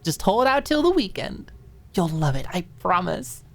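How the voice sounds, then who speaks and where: Woman voicing bubbly young woman, pitched brightly high. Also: gravelly, full character voice. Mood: excited, bashful. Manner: excited, reassuring, rushing and stumbling over words. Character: bubbly, eager, affectionate young woman, smiling and reassuring. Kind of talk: dramatised audiobook dialogue.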